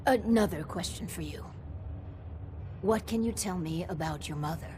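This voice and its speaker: An actress playing an officious, coldly pragmatic overseer in a video game, speaking formally and deliberately. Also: female voice, fairly low pitch, darkish tone, a little hiss or hum, close to an everyday voice. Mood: brisk, appraising. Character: officious, coldly pragmatic female vault overseer, measured and scheming. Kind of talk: video game character dialogue.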